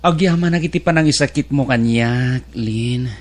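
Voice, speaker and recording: A man voicing a humble, tired worker abroad in an audio drama, with an expressive, sighing delivery. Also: medium pitch, moderate pace, close to an everyday voice. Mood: grateful. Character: humble man working abroad, weary and grateful.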